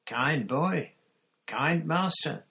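A man's voice: expressive, drawn-out words, creaky, sharp tone, exaggerated voice. A man voicing a terrified, grovelling man who whines and pleads for his life in a storybook reading. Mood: desperate. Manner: whining, pleading, squeaky.

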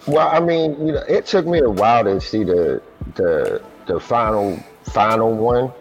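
A man speaks as a guest singer on a casual talk show, telling his story in a relaxed, easygoing way. His voice sits fairly low, and faint music plays behind him. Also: normal speed. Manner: relaxed, laid-back storytelling. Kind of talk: casual interview.

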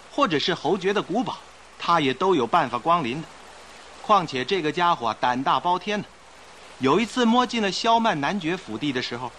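Animated gentleman sleuth. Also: sits high, a little hiss or hum. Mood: scornful marvelling. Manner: emphatic, explanatory. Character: confident, theatrical gentleman passenger turned amateur detective. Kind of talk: radio drama acting.

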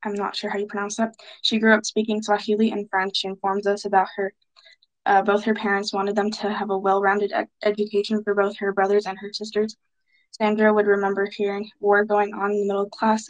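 A woman leads a student book discussion, halting often with filler sounds as she sums up chapters. Her voice sits in the middle of the range. Her pitch stays flat and even. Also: low-quality recording. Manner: hesitant, many filler sounds.

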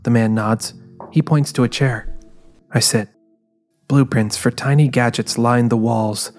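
Guy playing a guarded lady detective narrating her own story, measured noir-ish audio drama, soft music behind. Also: mid-range voice, fairly even intonation, lightly acted. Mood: guarded, reflective. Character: female ex-private eye narrating her guarded, driven job hunt. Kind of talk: first-person dramatized scripted narration. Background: quiet music.

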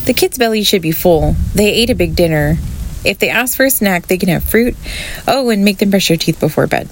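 A woman's voice: medium pitch, animated, brisk, a little hiss or hum, natural voice, rough recording. A woman playing a caring, busy mother in a radio play, with naturalistic acting.

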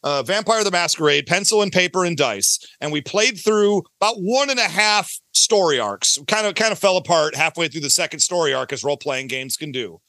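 A man's voice: fairly high pitch, expressive, fairly quick, hardly any pauses, bright, forceful tone, dry recording. A middle-aged man chatting casually as a podcast guest, rambling and emphatic in an enthusiastic, gushing way. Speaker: podcast guest, audio drama creator. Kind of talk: casual, rambling interview chat.

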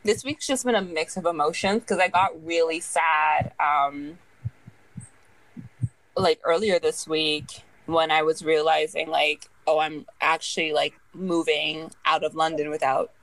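A woman in her twenties talks through her thoughts on a casual podcast, with a voice that sits a little low. She rambles and scatters "like" through nearly every sentence. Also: fairly even intonation, unhurried, a bit bright, no room sound, low-quality recording. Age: 20s. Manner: rambling, leans heavily on "like". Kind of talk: casual chat.

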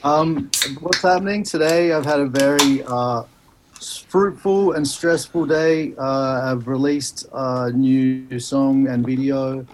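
A man's voice: mid-range voice, slow, slight background noise, rough recording. A man who is a guest musician on a podcast, talking in sweary, joking, rambling banter over a video call. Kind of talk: casual banter.

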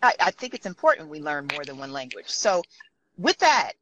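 A woman's voice: low voice, big pitch swings, moderate pace, fairly bright tone, lo-fi sound, slight background noise. A woman hosting a podcast interview, enthusiastic and often laughing. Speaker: podcast host.

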